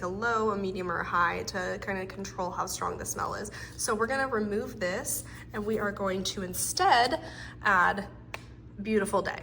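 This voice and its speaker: A woman's voice: medium pitch, bright, forceful tone, a little hiss or hum. A young woman with a congested, stuffed-up voice, chatting casually and thinking aloud at home as she tries out household scents. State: congested from a cold. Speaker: lifestyle vlogger. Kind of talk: casual chatty vlog narration. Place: indoors at home.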